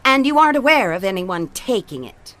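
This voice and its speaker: A woman's voice: mid-range voice, sing-song, brisk, a bit bright. A woman playing a polite, composed county fair organizer with a placating manner in a comic audio drama. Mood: questioning.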